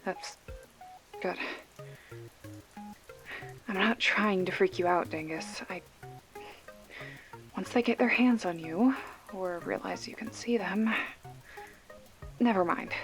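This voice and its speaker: A woman's voice: medium pitch, takes it slowly, pauses often. A woman plays a young female video store clerk in an ASMR mystery drama, talking as if on a phone call. Her mid-range voice is dry and sarcastic, loose with swearing, yet protective underneath. Music runs behind her. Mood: sarcastic, exasperated. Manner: wry, snarky, casual with swearing. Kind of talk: ASMR roleplay acting, one-sided phone call.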